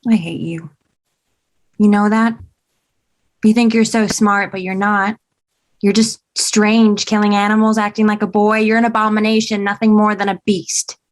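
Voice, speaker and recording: A woman's voice: mid-range voice, little pitch movement, moderate pace, lightly acted. A woman acting a resentful, prim young sister in a screenplay table read. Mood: scornful.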